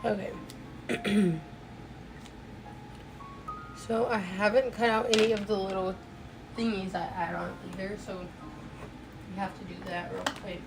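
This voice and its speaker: A woman's voice: mid-range voice, fairly even intonation, measured pace, creaky, long pauses, poor audio. A young woman chatting casually while crafting on a stream, rambling with lots of "like" fillers, her voice a little off from a recent cold. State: voice a bit off from a cold. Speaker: crochet streamer. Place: home crafting setup. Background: faint music.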